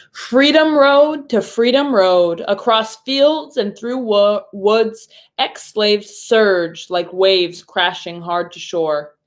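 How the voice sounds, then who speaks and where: A woman, a primary school teacher, speaking deliberately and instructively to young pupils as in a read-aloud storytime. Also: mid-range voice. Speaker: third grade teacher.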